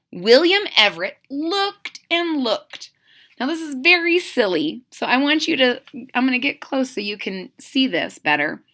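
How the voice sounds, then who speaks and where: A woman reading a children's storybook aloud as a kindergarten teacher, with expressive, explanatory asides to young listeners. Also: a little high.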